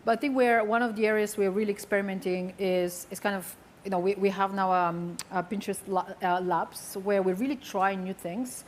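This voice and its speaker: A woman's voice: mid-range voice, fairly even intonation, a little fast, hardly any pauses, a little hiss or hum. A woman explaining her work as a panelist at a marketing conference, in a conversational way.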